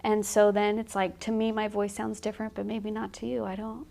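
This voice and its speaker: A woman's voice: mid-range voice, level tone, brisk, gravelly, mellow. A woman with a slight cold hosting a live painting tutorial, chatty and laughing often, with frequent fillers. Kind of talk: casual live-stream tutorial talk. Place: art studio.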